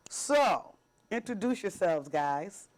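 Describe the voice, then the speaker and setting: A woman's voice: on the low side, very animated, slow pace. A woman hosting a local talk show, chatting casually with her guests and laughing often. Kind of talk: casual interview.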